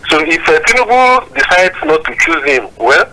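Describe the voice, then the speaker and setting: A man with a Nigerian accent speaks over a thin telephone line as a caller to a talk show. His voice is very sharp and a little high-pitched. He argues his political views deliberately and with emphasis. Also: very animated. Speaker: phone-in caller. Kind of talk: political opinion on a call-in show. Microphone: phone call.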